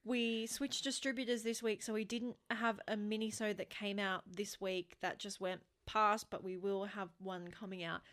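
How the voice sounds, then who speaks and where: Aussie woman podcast host, normal pitch, casual slangy chat. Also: flat delivery, normal speed, hardly any pauses, a bit bright. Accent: Australian.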